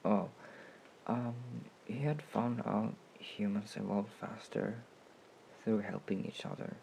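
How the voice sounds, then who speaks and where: A man speaking in a gentle, coaxing way, as in an intimate comfort roleplay. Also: on the low side, steady tone, gravelly, a little hiss or hum, rough recording. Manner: gentle, coaxing, slow and unhurried. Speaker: roleplay audio performer playing a caring comrade.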